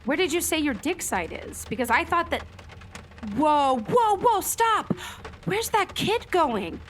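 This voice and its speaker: A woman's voice: extremely high pitch, sing-song, measured pace, exaggerated voice. A woman acting as an earnest, kindly television meteorologist in a naturalistic radio play, speaking articulately. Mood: alarmed, urgent. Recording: faint background noise.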